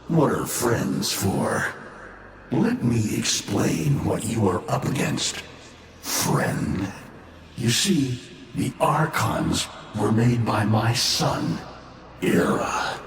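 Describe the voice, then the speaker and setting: Ancient bitter warlord, cavernous echo. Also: male voice, lowish voice, gravelly, heavily acted. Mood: scornful, mocking. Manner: theatrical, slow, menacing, drawn-out delivery. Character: bitter, ancient fallen warlord, mocking and grandiose. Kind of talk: dramatic video game voice acting. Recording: cavernous echoing reverb, faint ambient noise.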